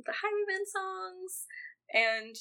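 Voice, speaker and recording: A woman's voice: extremely high pitch, lively intonation, takes it slowly, creaky, bright, forceful tone, poor audio. A young woman co-hosting a podcast in casual chat, laughing often and filling her talk with "like". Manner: giggly, lots of fillers. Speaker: podcast co-host.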